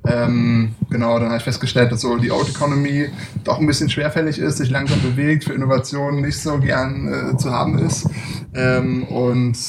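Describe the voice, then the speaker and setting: A man from Berlin, a startup founder, speaking as an interview guest in a relaxed, hesitant way with many fillers. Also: mid-range voice, normal speed, creaky. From Berlin, Germany. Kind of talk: casual interview talk. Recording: distant mic with loud background noise.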